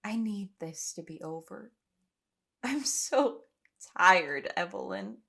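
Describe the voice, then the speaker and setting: A woman's voice: mid-range voice, animated, slow, pushed and bright, exaggerated voice. A woman acting in an audio drama as an anxious, exhausted young woman trapped on an island, speaking with emotional intensity. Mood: weary. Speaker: lead actor in an audio drama. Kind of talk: audio drama acting, first-person recollection and dialogue.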